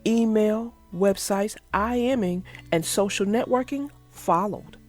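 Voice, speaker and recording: A woman reading a children's storybook aloud as narrator, in an expressive storytelling manner. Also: mid-range voice, takes it slowly, faint music behind. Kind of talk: scripted storybook read-aloud.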